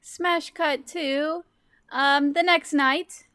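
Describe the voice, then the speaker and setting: A woman's voice: sits high, fairly even intonation, drawn-out words, bright, forceful tone, dry recording, clean studio sound. A woman chatting casually and playfully as a player in a tabletop game.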